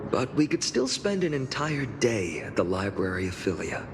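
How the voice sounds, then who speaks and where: An actor playing an earnest, dutiful young swordsman in a story game, solemn and sincere in a measured way. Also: mid-range voice, big pitch swings, big-room sound, background noise. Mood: relaxed, friendly. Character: earnest young male swordsman, serious, dutiful, self-reproaching. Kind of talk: voiced game story dialogue.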